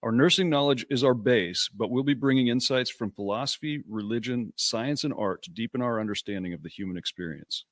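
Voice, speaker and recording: A man co-hosting a nursing podcast, a registered nurse who talks in a casual, halting way and restarts his sentences as he explains. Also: medium pitch, no echo, studio quality.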